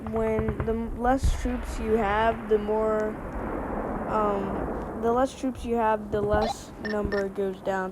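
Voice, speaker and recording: A woman giving a rambling gameplay commentary voice-over, slightly hoarse from a winter cold and full of fillers and restarts. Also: medium pitch, noisy background. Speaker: gameplay video creator.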